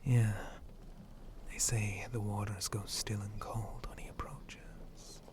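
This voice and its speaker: A man playing a flirty, roguish shark-monster pirate in an ASMR roleplay, breathy and often whispering, with a teasing, rustic pirate way of talking. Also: lowish voice, little pitch movement, takes it slowly. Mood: eerie, hushed. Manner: rustic pirate dialect, hesitant drawl, teasing.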